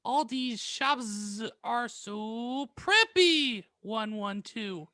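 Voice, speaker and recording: A man with a high, lively voice banters casually as a podcast co-host. He jokes along at a slow pace, with big swings in intonation and a dry, close sound. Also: no echo.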